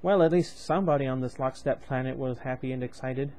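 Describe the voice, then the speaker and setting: A man's voice: mid-range voice, fairly quick, a little mellow. A young man reading a novel aloud in a casual way, with chatty asides, a rambling habit and frequent moments of losing his place. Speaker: book reader, channel host.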